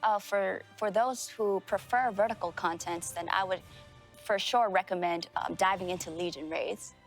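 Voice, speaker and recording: Gravelly-voiced woman interpreting formally. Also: mid-range voice, soft background music. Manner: measured, formal phrasing. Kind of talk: consecutive interpreting.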